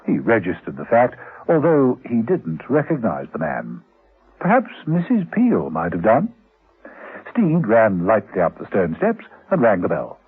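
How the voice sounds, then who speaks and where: An actor narrating a spy radio drama as a composed storyteller, in scripted prose. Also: male voice, lowish voice, wildly swooping pitch, measured pace, slightly rough, exaggerated voice. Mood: measured, descriptive. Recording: muffled, narrow-band old radio recording.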